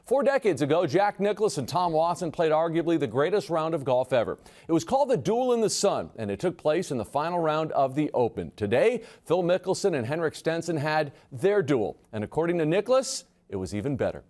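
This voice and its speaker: High-pitched American man anchoring sports highlights. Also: big pitch swings, fairly quick, a little mellow. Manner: punchy, playful delivery. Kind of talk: lively scripted sportscast narration over highlights.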